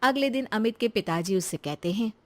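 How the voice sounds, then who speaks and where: A woman's voice: a little high, lively intonation, a little fast, a little mellow. Woman narrating a cartoon story.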